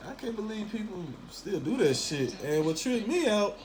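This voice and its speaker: A man's voice: sits high, big pitch swings, normal speed, noisy background. A man from Memphis with a Southern accent, giving a casual, opinionated monologue in blunt slang with plenty of swearing. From Memphis, southern United States. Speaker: talk host.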